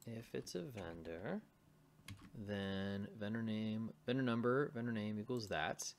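A man's voice: on the low side, expressive, measured pace, slight background noise. A man giving an informal coding tutorial, muttering to himself and thinking aloud as he works on screen. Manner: mutters to himself while typing. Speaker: programming tutorial presenter.

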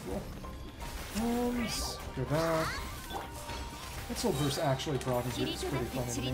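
A woman streamer giving casual live gameplay commentary, half muttering to herself with mild exclamations. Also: sits low. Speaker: game streamer. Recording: loud game music behind voice. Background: loud game music.

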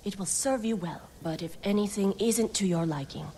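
A woman's voice: medium pitch, normal speed, warm tone, a little hiss or hum, lightly acted. A woman playing a proud, obliging armourer in a video game, respectful and assured. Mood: proud, helpful. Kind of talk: dramatic video game acting.

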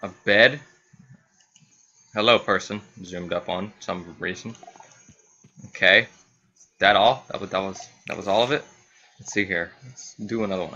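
A man's voice: lowish voice, unhurried, pauses often, pushed and bright, dull audio. A man giving casual let's play commentary, rambling and repeating himself as he thinks aloud, as host of a gaming channel. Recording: faint background noise.

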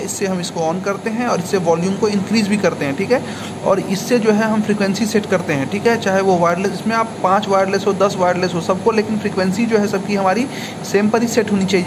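A young man gives an informal how-to tutorial, often adding a short checking tag after each explanation. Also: sits high. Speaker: video tutorial host. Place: indoors at a table. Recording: noisy room, clear background hum.

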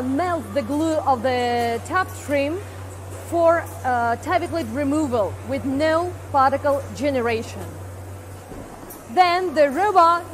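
A woman's voice: high-pitched, a little hiss or hum. A woman in her forties on a lapel mic, explaining machinery on a factory floor with frequent fillers and restarts. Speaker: company presenter. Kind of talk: guided equipment tour. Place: large indoor factory production floor.

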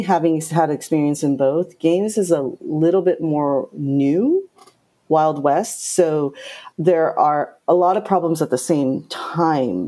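A middle-aged woman with a low voice, speaking bluntly and directly as an interview guest. Her tone is dark and mellow. She tells her stories with animation. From Japan. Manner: direct, outspoken, animated storytelling. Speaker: game producer, guest. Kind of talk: casual interview talk.

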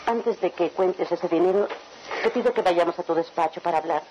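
A woman's voice: fairly low pitch, a little fast, warm tone, dull audio, a little hiss or hum, natural voice. An actress playing an anxious, protective middle-aged mother in a melodramatic radionovela. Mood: uneasy.

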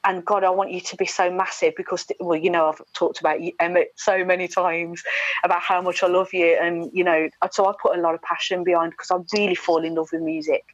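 A woman's voice: on the low side, flat delivery, a little fast, no echo, lo-fi sound. A woman in her forties with a British accent, talking candidly and emphatically as a radio presenter being interviewed over a video call. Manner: chatty, emphatic, with mimicked asides. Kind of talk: candid conversational interview talk. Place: indoor room at home, video call. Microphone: wired earphone headset on video call.

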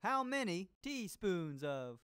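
A man's voice: high voice, sing-song, takes it slowly, heavily acted. A man playing an upbeat, groovy disco cooking show host in an educational game, with exaggerated jive talk and showy delivery. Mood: groovy, playful. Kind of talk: scripted educational game character performance. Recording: music behind the voice.

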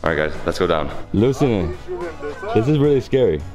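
A man in his thirties vlogging casually with a camera at arm's length, excitable and chatty, outdoors and inside a stone church. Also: deep voice, normal speed, in a large hall, over loud music. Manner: excitable, exclaims often, chatty. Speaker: travel vlogger. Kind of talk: casual travel vlog narration. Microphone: camera held at arm's length.

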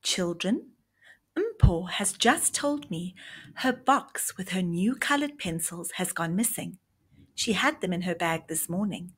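A woman reading the part of a kind, patient primary school teacher in a children's storybook, gently explaining. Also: lowish voice, very animated, a little slow, breathy edge, exaggerated voice. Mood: concerned.

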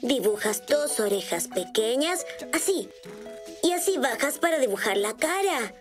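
A woman's voice: high voice, sing-song, a little slow. A woman with a Latin American Spanish accent voicing a cheerful, helpful young cartoon rabbit boy who gives simple drawing instructions. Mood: helpful, instructive. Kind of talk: children's cartoon dub, step-by-step drawing instruction. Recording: music behind the voice.

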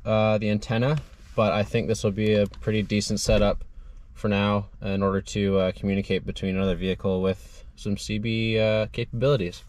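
Low-voiced young man, casual how-to narration. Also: level tone, brisk, darkish tone. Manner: informal, explaining step by step as he works. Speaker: DIY car video host. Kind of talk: casual hands-on tutorial narration. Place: in and beside a parked car outdoors. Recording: clear background noise, vehicle ambience.

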